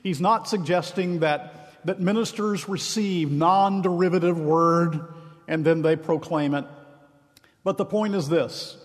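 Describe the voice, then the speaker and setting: Earnest preacher man in echoing church. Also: on the high side. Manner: earnest preaching with emphatic slow phrases. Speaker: minister. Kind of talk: sermon. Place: church sanctuary. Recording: long reverb of a large hall.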